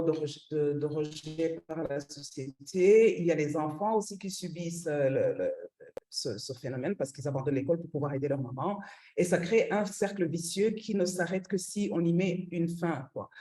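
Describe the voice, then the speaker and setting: Hesitant, mellow-voiced woman from Rwanda. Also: fairly low pitch. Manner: hesitant, searching for words with many repeated syllables. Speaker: founder of a women's project. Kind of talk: project pitch presentation.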